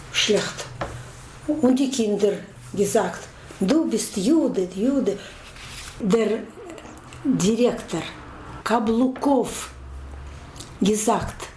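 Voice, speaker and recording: An older woman from Ukraine with an Eastern European accent, giving a personal account in halting, broken short phrases. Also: a little high, a little mellow. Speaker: documentary interviewee. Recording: echoey room with faint noise and clicks.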